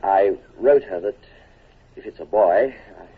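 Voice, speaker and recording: An actor playing an earnest, respectful young naval lieutenant in a vintage radio drama. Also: male voice, fairly low pitch, measured pace, breathy edge. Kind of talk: 1940s radio acting. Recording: tinny old radio broadcast.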